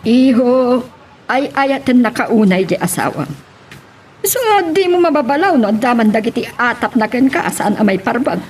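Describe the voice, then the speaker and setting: A woman playing an older, conciliatory mother in an audio drama, with a husky voice, an Ilocano Filipino accent and a gently advising manner. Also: a little high, animated, moderate pace, slight background noise, lightly acted.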